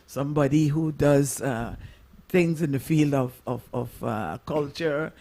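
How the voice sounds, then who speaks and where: A man hosting a local radio program, introducing and interviewing guests in halting, wordy, rather formal phrasing. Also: a little high, a little mellow.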